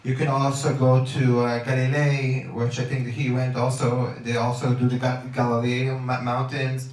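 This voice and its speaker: A man's voice: mid-range voice, monotone, normal speed, darkish tone. A man in casual radio chat as a guest, rambling with many fillers and restarts. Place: outdoors on a beach. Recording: roomy, distant mic, faint noise.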